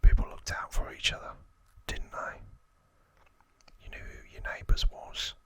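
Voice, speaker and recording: A man's voice: unhurried, pushed and bright. A man voicing a boastful, threatening London gangster twin in a put-on Cockney accent, whispering his slangy lines from a game manual. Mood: disgusted, nostalgic. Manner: threatening, slangy, self-important.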